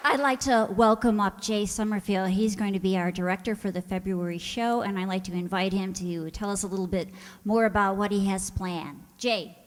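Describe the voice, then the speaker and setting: A woman's voice: mid-range voice, normal speed. A woman giving a live thank-you address to an audience from the stage of an auditorium. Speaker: radio players' member giving thanks from the stage. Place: large indoor township auditorium, on stage. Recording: echoey auditorium with long reverb.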